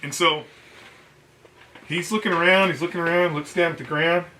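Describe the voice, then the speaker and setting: A man's voice: a little high, moderate pace, slight background noise, rough recording. A man who is a military veteran tells war stories casually, acting out what others said in colloquial, profane language. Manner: profane, colloquial, animated retelling. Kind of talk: casual storytelling with acted-out quotes.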